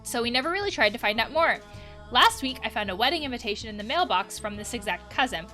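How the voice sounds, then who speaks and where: A woman's voice: fairly high pitch, expressive, fast, bright, forceful tone. A young adult woman hosting a casual game let's play, reading on-screen text aloud and adding reflective, conversational asides. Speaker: let's play host, counselor. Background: background music.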